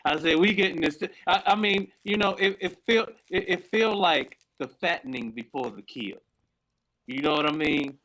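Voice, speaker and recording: A man's voice: fairly high pitch, moderate pace, bright, forceful tone, poor audio. A man co-hosting a casual talk show, telling a story in a laid-back way and often repeating words. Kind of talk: casual chat.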